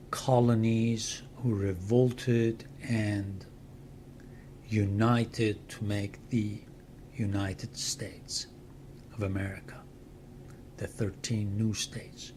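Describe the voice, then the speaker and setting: An older man with a Persian accent talks in a slow, unhurried way. His voice sits fairly low, and he gives measured explanations with pauses between phrases. A faint hum of room ambience runs behind him. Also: a little mellow. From Iran. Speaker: channel host and translator. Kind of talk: slow explanatory talk to camera.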